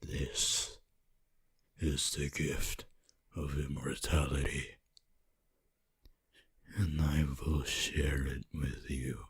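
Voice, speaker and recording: Super deep breathy vampire, possessive n creepy seductive, slow asmr whispering. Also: male voice, full character voice. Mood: possessive, menacing. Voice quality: breathy, husky, often whispering. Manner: slow, theatrical, archaic phrasing.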